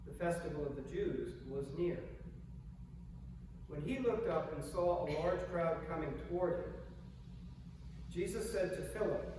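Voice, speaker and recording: A soft-spoken male pastor reading scripture and preaching a sermon in a gentle, measured way in a large old church sanctuary. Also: a little high. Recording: echoey church hall from far off, noisy.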